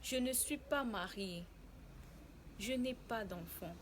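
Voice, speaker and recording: A young woman from Cameroon teaching a beginner language lesson, saying each example phrase slowly and clearly. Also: on the high side, expressive, a little hiss or hum. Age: young adult. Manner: slow and deliberate, spelling out example phrases. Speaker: French teacher.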